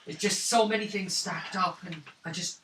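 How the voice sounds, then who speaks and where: A man voicing a shaken, wounded young woman of a wandering clan in a tabletop roleplaying game, speaking haltingly and wearily. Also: high-pitched, lightly acted. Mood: weary, bitter. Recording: roomy, hissy tabletop recording, distant mic.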